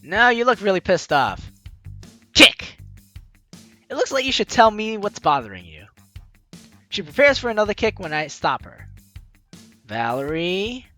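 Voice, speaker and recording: A woman's voice: mid-range voice, big pitch swings, unhurried, pauses often, a bit bright, soft background music. A woman hosting a gaming video, reading game text aloud with playful, theatrical asides and casual commentary.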